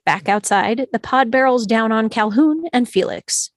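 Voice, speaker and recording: A woman reading scripted stage directions as narrator at an animated film table read. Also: a little high, unhurried, no room sound, studio quality.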